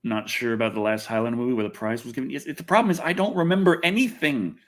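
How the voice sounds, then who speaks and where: A man's voice: mid-range voice, fast, fairly bright tone. A man hosting a casual livestream, chatting to viewers in a loose, rambling way with some swearing. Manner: rambling, loose, expletive-laden. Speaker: livestream host.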